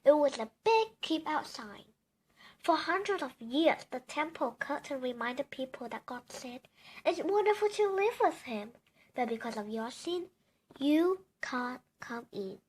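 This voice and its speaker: A female reader with a very high voice reads a children's storybook aloud. She takes it slowly, phrase by phrase, as bedtime story narration. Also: expressive.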